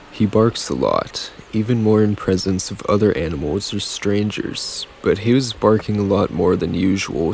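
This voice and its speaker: A man reading a true scary story aloud in an even storytelling manner. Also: low voice, gravelly, a little hiss or hum. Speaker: narrator.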